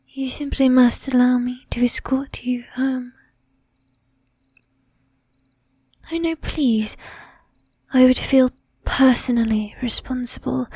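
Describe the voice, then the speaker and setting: Woman whispering as a breathy, flirtatious lady vampire. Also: sits high, mellow, no echo. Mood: coy concern. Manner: coaxing, affected old-fashioned formality, drawn-out teasing. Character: bossy, flirtatious, predatory lady vampire, sweetly menacing. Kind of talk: whispered ASMR roleplay. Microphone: close ASMR microphone.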